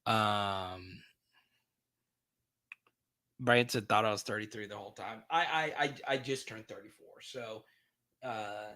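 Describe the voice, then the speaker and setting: A man's voice: on the low side, takes it slowly, pauses often, sharp tone, no room sound. A man in his thirties hosting a sports podcast livestream, chatting casually as he reads viewer comments, laughing often and tripping over restarts. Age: 30s.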